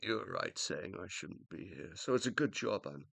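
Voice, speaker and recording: A man's voice: low-pitched, lively intonation, normal speed, gravelly, sharp tone, dry recording, studio quality, heavily acted. A man playing an anguished dead scientist, swooping intonation. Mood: earnest regret. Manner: emotional, hesitant, confessional. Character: uploaded consciousness of a dead scientist, a self-reproaching, loving husband. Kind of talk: radio play acting.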